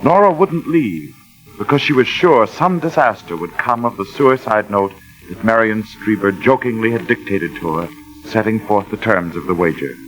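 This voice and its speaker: An actor serving as narrator of a 1940s radio suspense drama, formal, measured and ominous, on a vintage recording. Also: male voice, on the low side, expressive, faint music behind, natural voice, low-quality recording. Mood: grave, ominous. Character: grave male narrator of a suspense story.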